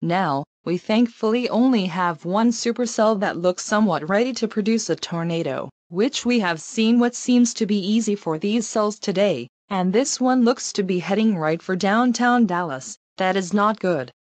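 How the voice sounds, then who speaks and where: A concerned female TV co-anchor played by a woman reports on severe weather live. Her voice is medium in pitch, and the recording is very dry. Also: moderate pace, hardly any pauses, dry recording, lightly acted. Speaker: news co-anchor. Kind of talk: live breaking-news weather coverage.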